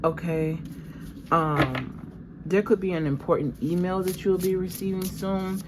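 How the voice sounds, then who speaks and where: Low-voiced stuffy woman reading tarot. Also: steady tone, measured pace, noisy background. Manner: conversational, meandering, addresses viewers as "y'all". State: stuffy from allergies. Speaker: tarot reader.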